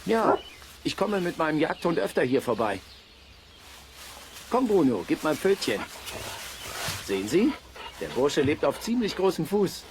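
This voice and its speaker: A man's voice: fairly high pitch, very animated, unhurried, pauses often, darkish tone, a little hiss or hum. A man acting a skeptical, self-assured forest dog owner in a children's radio play, dry and dismissive in manner.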